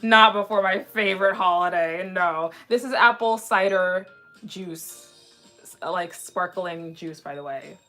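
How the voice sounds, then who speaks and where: A woman in her twenties chatting casually on a livestream in a rambling way, slightly raspy from a sore throat. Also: on the low side, a little slow, bright, forceful tone. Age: 20s. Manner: chatty, rambling, frequently restarting sentences. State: mildly sick with a sore throat. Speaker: anime livestream host. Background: background music.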